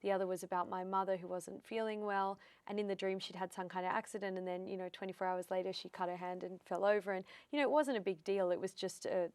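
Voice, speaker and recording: An Australian woman in her thirties chats easily and lively, slipping into the voices of people she quotes. Her voice has a gravelly, fry-tinged texture at middle pitch. The recording is close and dry, with no room sound. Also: fast talker, few pauses, dry recording. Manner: chatty storyteller, acts out quotes. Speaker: psychic medium guest. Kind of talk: casual interview chat.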